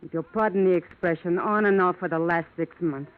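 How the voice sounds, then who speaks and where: A woman's voice: fairly low pitch, a little fast, close to an everyday voice. An actress playing a wary, sardonic, tough-talking young barroom woman in an old-time radio detective drama. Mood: coy, bargaining. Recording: tinny, faintly noisy old radio recording.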